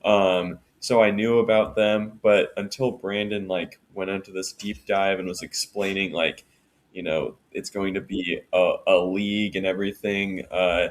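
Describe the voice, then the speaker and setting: A man with a low, deep voice co-hosts a casual podcast. He speaks in a steady monotone, pausing with "uh" and restarting his sentences as he thinks aloud. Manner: unhurried, many fillers and restarts. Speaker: podcast co-host.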